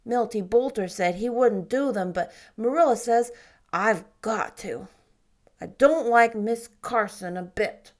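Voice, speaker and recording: A woman's voice: on the high side, unhurried, lightly acted. A woman voicing a gloomy ten-year-old boy who talks in a sulky, plainspoken way. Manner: sulky, plainspoken, stumbles over big words.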